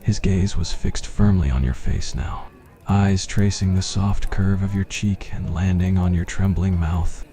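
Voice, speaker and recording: Deep-voiced man narrating an ASMR story. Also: fairly even intonation, a little slow, few pauses, warm tone, faint music behind.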